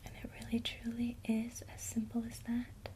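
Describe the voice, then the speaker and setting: A woman teaching a witchcraft lesson in a soft, gentle ASMR whisper. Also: a little high, completely flat delivery, moderate pace, slight background noise.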